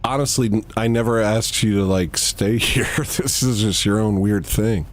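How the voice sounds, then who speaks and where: A man hosting a livestream, joking his way through an improvised comedy skit in a deadpan, playful manner. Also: normal speed. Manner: jokey and deadpan, with playful swings in pitch. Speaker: livestream host.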